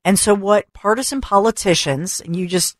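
A talk radio host, a woman, delivering an opinionated rant full of rhetorical questions and repetition, her voice going hoarse. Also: mid-range voice, normal speed, no room sound, clean studio sound. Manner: emphatic, rhetorical questions, repeats for stress. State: losing her voice. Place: radio studio. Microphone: studio microphone.

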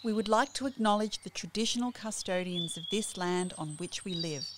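A woman giving a formal acknowledgement in a solemn, measured way. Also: mid-range voice. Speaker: announcer.

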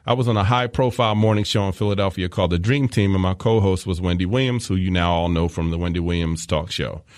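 A man's voice: low voice, fast, dry recording, studio quality. A man hosting a podcast, telling a story in scripted narration with enthusiastic, candid asides. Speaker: podcast host.

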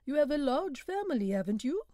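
Brief: kindly calm priest, voiced by a woman, soft and mild. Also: high-pitched, brisk, dry recording, clean studio sound, voice pushed up. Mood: gentle. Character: calm gentle village priest man.

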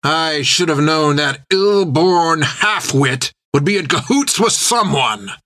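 Roaring, fierce old pirate captain. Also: male voice, fairly high pitch, animated, voice pushed up, heavily acted. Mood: scornful. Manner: shouting, snarling, drawn-out contemptuous delivery. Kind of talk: dramatic audiobook character acting.

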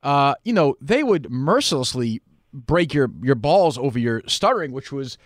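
A man's voice: medium pitch, very animated, moderate pace, dry recording, studio quality. A man hosting a casual conversational interview, blunt and freely profane in the way he talks. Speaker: interview show host.

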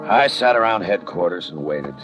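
An actor playing a tough, world-weary homicide lieutenant with a terse, dryly sardonic delivery in a 1950s radio detective drama. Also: male voice, deep voice, lively intonation, normal speed. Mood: weary narration. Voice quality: hard-boiled, terse. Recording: dull vintage 1950 radio recording. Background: music.

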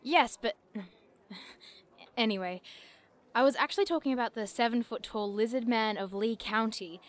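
A woman's voice: a little high, measured pace, natural voice. A woman playing an earnest, bookish young researcher of cryptids in an audio drama, speaking in precise, wordy phrases. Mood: earnest, inquisitive.

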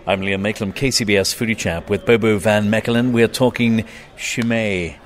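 Low-voiced male radio host. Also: steady tone, moderate pace, a crowd in the background. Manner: friendly, brief interjections. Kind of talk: radio interview.

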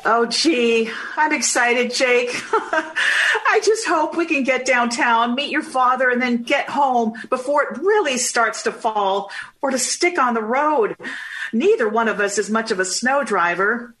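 Lively 1950s radio mom. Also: female voice, a little high, measured pace. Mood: uneasy, good-humored. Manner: motherly, expressive, wholesome. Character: cheerful, slightly anxious 1950s suburban mother. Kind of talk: old-time radio drama acting.

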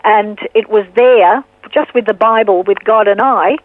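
A woman in her sixties with an Australian accent, a guest telling her story earnestly and unhurriedly, with pauses. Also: medium pitch, no room sound. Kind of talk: casual interview storytelling. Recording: tinny phone line.

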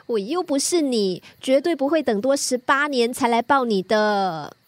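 A woman's voice: sits high, animated, a little slow, no room sound. A woman playing a teasing, caring teenage daughter in a radio drama, speaking with a Singaporean accent. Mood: caring, sincere. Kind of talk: Mandarin radio drama acting.

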